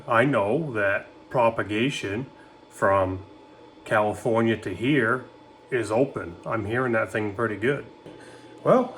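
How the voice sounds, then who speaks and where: A man from Maine chatting casually on ham radio, rambling and relaxed. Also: on the low side, slight background noise.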